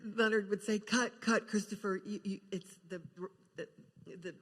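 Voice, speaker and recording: An older woman telling anecdotes on a panel, halting and repeating words as she goes. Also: medium pitch, steady tone. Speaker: guest actor sharing film memories. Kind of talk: panel reminiscence, anecdotal storytelling. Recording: echoey hall with long reverb.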